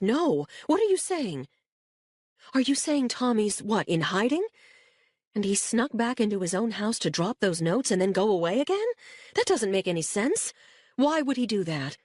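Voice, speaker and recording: A woman's voice: medium pitch, sing-song, normal speed, a little mellow, no room sound, studio quality, voice pushed up. A woman voicing a grieving mother who speaks in an emphatic, insistent, tearful way. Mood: distraught. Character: distraught mother, older woman, sharp and grieving.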